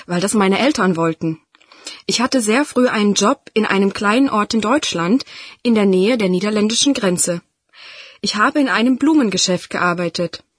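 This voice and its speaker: A young woman reading a scripted part as a student, friendly and bright. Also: medium pitch, slightly rough, no room sound, studio quality. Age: young adult. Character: young Dutch female student, 19. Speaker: student speaking in a listening exercise. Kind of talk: scripted reading for a listening test.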